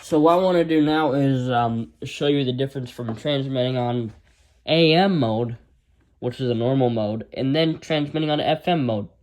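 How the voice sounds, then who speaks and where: An American man talks through a gadget in a casual, unscripted way. His delivery is halting and rambling, with an informal tone and a mid-range pitch. He sounds like an amateur reviewer demonstrating something as he goes. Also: a little mellow. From United States.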